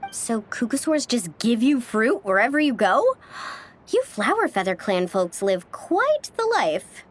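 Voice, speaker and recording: Sociable game heroine: youthful, high and animated, cheerful and chatty. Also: female voice, measured pace. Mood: breezy. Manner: breezy, chatty. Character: upbeat, sociable, party-loving young woman. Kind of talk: animated video game voice acting.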